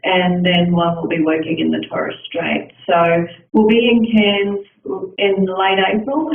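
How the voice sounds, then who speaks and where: A woman presenting for a department explains things in a measured way during an online session, with frequent ums. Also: fairly low pitch, creaky. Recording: tinny, echoey video call with faint hiss.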